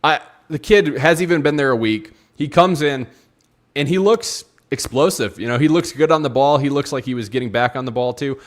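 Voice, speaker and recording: A man's voice: mid-range voice, fairly quick. A man chatting casually as a football pundit on a talk show, slangy and matey, repeating points for emphasis. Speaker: football show co-host or guest. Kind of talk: casual football analysis chat.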